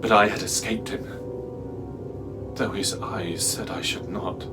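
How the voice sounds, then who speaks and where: A man playing a haunted, fearful narrator in a dramatic reading of a horror tale, speaking deliberately and theatrically with halting pauses. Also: on the low side, steady tone, loud music behind the voice, close to an everyday voice. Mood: haunted disbelief. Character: haunted, fearful man recounting a nightmarish pursuit. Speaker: actor, narrator.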